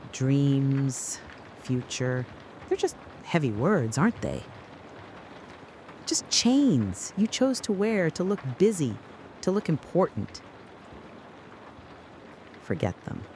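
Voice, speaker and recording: A narrator delivering a scripted, dramatic late-night soliloquy in a deliberate, brooding way, speaking straight to the listener. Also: medium pitch, big pitch swings, a little mellow, a little hiss or hum. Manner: slow, deliberate, brooding second-person address.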